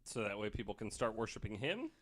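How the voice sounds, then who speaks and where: A man's voice: fairly low pitch, very animated, fast. A man co-hosting a podcast, chiming in with casual, wry and joking banter. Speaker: podcast co-host.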